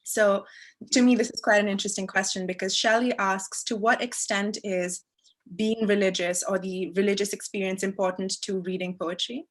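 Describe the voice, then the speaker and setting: A woman moderates a seminar, relaying viewer questions in casual, hesitant speech. Her voice sits at an ordinary middle pitch. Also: steady tone, moderate pace.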